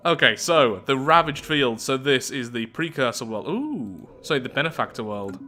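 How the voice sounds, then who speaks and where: Man, gaming host, bright voice, mid pitch, animated chatty playthrough commentary, thinking aloud, faint background music. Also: moderate pace. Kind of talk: game playthrough commentary, reading event text aloud.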